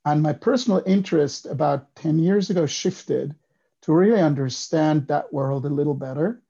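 A man in his fifties from Switzerland speaks as a podcast guest, with a somewhat higher voice for a man and a gravelly, husky edge. His tone is dark and mellow, and he tells his story in a reflective, unhurried way. The sound is dull and lo-fi, like a remote call. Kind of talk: casual interview talk.

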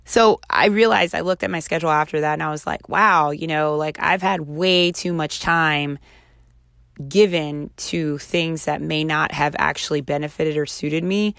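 A woman with a raspy voice recovering from laryngitis, chatting casually and encouragingly on her solo podcast. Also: low-pitched, steady tone, moderate pace, a bit bright, dry recording, clean studio sound. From Houston, Texas. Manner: chatty, encouraging, self-reflective. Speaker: podcast host.

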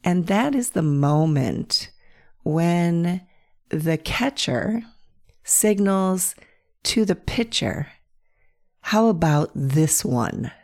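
Croaky-voiced woman hosting a podcast. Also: on the low side, animated, very slow, pauses often. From north central Pennsylvania. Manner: gentle, playful storytelling with soft laughs. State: hoarse from bronchitis, croaky with cracks. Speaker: podcast host. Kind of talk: reflective solo podcast monologue.

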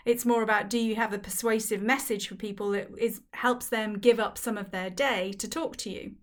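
A woman's voice: mid-range voice, fairly even intonation, normal speed. A woman from Wales, a business founder, speaking articulately as a guest in a casual interview. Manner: articulate and fluent.

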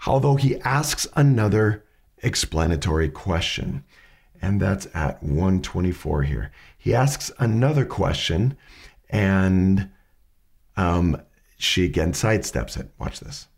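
A man hosting a lesson on asking questions, his voice raspy from hay fever, explaining step by step and often restarting his sentences. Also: low voice, lively intonation, slow pace, darkish tone. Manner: methodical, restarts mid-sentence while explaining. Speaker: podcast host teaching questioning.

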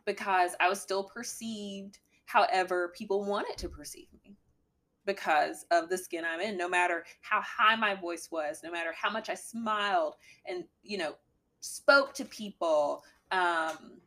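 A young adult woman with a slightly deep voice, a guest talking candidly with a dry sense of humor. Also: unhurried. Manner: candid, dry humor, mimics a bright work voice. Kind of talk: casual chat.

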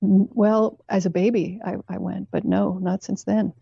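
Woman guest chatting, husky soft-edged voice, reflective, slightly muffled lo-fi sound. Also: mid-range voice, little pitch movement, normal speed, no echo. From Canada. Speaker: therapist and writer, interview guest. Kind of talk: conversational interview, telling personal story.

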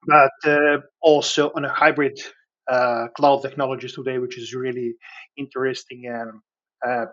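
A man from Serbia with a Serbian accent, speaking conversationally as an interview guest in halting phrases with frequent filled pauses. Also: mid-range voice, level tone, a bit bright, dull audio. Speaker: podcast guest, cloud engineer.

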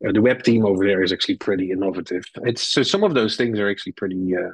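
A man's voice: fairly low pitch, lively intonation, very fast, slightly rough, no room sound. A middle-aged man with a Dutch accent, a marketing executive talking candidly as an interview guest, blunt and rambling with many restarts. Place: lives in Silicon Valley.

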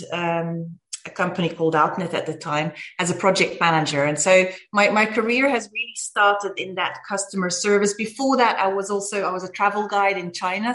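A woman in her forties from Belgium, a company CEO, telling her career story in a measured way with frequent fillers. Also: medium pitch, a bit bright, low-quality recording. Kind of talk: interview conversation.

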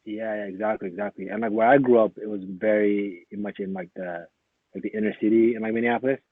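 A man born in Somalia, a guest in a casual interview, talking in a relaxed, rambling way full of fillers and restarts. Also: fairly low pitch, fast talker, dry recording. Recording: tinny phone-like lo-fi line, dry.

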